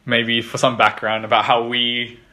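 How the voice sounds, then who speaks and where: A man's voice: mid-range voice, level tone, moderate pace, pushed and bright. A man hosting a podcast, chatting loosely with a guest and leaning on filler words. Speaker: podcast host. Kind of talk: casual interview chat.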